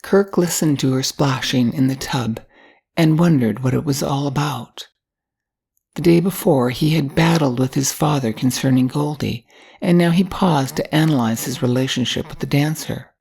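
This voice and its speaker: A woman's voice: very deep voice, normal speed, vocal fry, a little mellow, breathy edge. An older woman narrating a short story from a script as an audiobook reader.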